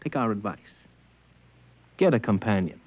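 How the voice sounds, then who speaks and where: An actor playing a stern, dogmatic customs agent with a curt, preachy manner, in 1950s radio drama style. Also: male voice, fairly low pitch, lively intonation, moderate pace, husky, no echo, soft background music. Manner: curt, matter-of-fact, preachy. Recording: dry, dull old radio recording.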